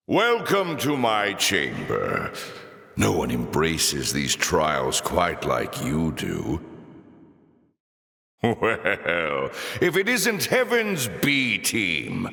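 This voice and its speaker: An actor playing a sly, mocking fallen angel in a video game, urbane and theatrical, with a sardonic, silky, savouring delivery. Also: male voice, fairly low pitch, sharp tone, full character voice. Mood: mock welcoming, gloating. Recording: voice in echoey hall reverb.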